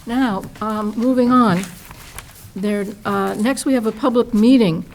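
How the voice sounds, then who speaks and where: A woman chairing a town planning board meeting in a brisk, plainspoken way. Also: a little high, slight background noise, rough recording.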